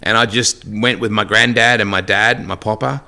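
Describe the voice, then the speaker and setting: A man's voice: lowish voice, fairly even intonation, normal speed, creaky, pushed and bright. A middle-aged Australian man, a race announcer, chatting as a podcast guest and telling stories with joking asides. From Western Australia. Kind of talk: casual podcast interview.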